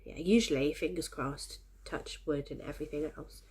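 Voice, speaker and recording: A woman's voice: sits low, steady tone, moderate pace, darkish tone, husky. A woman with a head cold chatting cheerfully and ramblingly to her viewers in a casual crafting vlog, a little congested and coughy. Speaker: crafting vlogger. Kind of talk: casual chat to camera.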